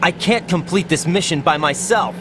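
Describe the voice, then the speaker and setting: A man's voice: fairly high pitch, moderate pace, background noise, natural voice. An actor playing an earnest young male rookie special-forces operative in a video game, asking many questions. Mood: tense. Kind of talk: video game cutscene voice acting. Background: game score music.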